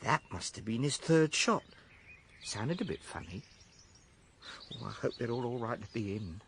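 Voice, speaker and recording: A man's voice: medium pitch, animated, normal speed, creaky, fairly bright tone, slight background noise. An actor playing an anxious middle-aged everyman with nervy, colloquial speech in a radio drama. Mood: nervy, relieved.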